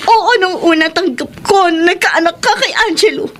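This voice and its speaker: A woman's voice: very high-pitched, moderate pace, a little hiss or hum. A Filipino actress playing a hurt, reproachful wife confronting her husband in an emotional radio drama. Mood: tearful, betrayed. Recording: loud dramatic music under the voice.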